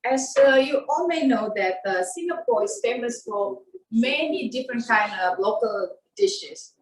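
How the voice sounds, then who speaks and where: A woman's voice: on the high side, expressive, unhurried. Woman from Indonesia, echoey and distant. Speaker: cooking demonstrator. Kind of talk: cooking demonstration. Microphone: video call. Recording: echoey room, far from the mic.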